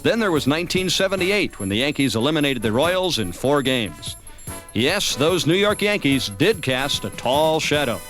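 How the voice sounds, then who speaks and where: Male American documentary narrator, sports season recap, voice a touch high, light music bed. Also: sing-song, normal speed, fairly bright tone. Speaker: narrator of a baseball season review. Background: background music.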